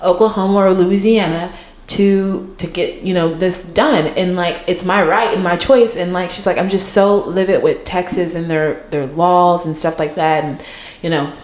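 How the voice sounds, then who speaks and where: A woman's voice: on the low side, steady tone, normal speed, a little mellow, echoey room. A woman co-hosting a podcast, chatting casually with lots of fillers. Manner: chatty, many fillers and restarts. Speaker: podcast co-host.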